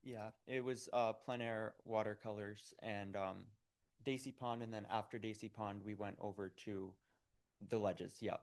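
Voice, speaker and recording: A teenage male student with a fairly low voice answers questions in front of a meeting. His phrasing is casual and youthful, feeling its way along with some hesitation. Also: fairly even intonation, a little slow, vocal fry, darkish tone.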